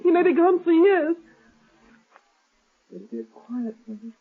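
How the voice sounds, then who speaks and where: Old tinny radio, sad naive young daughter, high plaintive girlish woman. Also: expressive, slow pace, gravelly, heavily acted. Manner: plaintive, hesitant. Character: grieving, naive young heiress daughter, defensive about fiance. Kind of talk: 1930s radio acting. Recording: tinny, band-limited 1930s radio recording.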